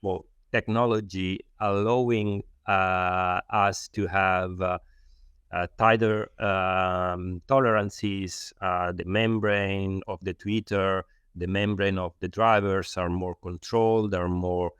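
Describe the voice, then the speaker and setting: A man's voice: low-pitched, fairly even intonation, takes it slowly, a bit bright, smooth, dry recording, muffled recording. A man with an Italian accent, a non-native English speaker, giving explanatory interview answers and often searching for words. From Italy. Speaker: loudspeaker designer, interview guest.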